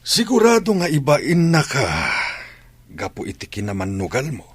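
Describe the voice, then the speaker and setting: Actor as a stern, husky older Filipino father. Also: fairly high pitch, wildly swooping pitch, moderate pace, full character voice. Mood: stern, reproachful. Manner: reproachful, admonishing. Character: stern older father scolding his gambling grown child. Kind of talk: Ilocano radio drama acting.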